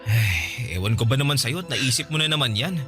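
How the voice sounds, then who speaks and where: A tired young husband in a radio drama, in a medium-pitched voice. He sounds apologetic and hesitant, a little defensive, with faint music behind him. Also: animated, a little fast. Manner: weary, hesitant, placating. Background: soft background music.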